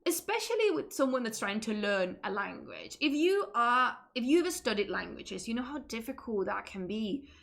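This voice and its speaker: A woman's voice: on the high side, very animated, normal speed, creaky, hardly any pauses, fairly bright tone. A Spanish woman speaking English with a Spanish accent, chatting casually with many restarts. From Spain. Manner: chatty, self-interrupting, laughs often. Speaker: streamer. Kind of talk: casual chat.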